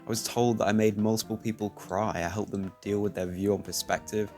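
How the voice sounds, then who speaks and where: A teenage man speaking calmly and reflectively, commenting over his gameplay. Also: fairly low pitch, brisk. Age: teens. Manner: soft-spoken, reflective. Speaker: YouTuber. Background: faint music.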